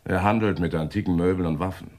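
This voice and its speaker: An actor playing a matter-of-fact local police inspector in a German radio crime play, speaking in a clipped, businesslike way. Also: male voice, deep voice, fast talker, darkish tone, lightly acted.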